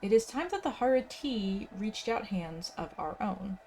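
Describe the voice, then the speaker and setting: A woman's voice: medium pitch, animated, unhurried, mellow, a little hiss or hum. A woman hosting a casual game playthrough, chatting and reading quest text aloud. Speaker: gaming video host. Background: game music.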